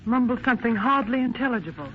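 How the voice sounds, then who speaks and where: A performer playing an attentive, concerned medical attendant with brisk speech in a 1930s radio drama. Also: male voice, very high-pitched, over music. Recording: noisy muffled 1930s radio recording.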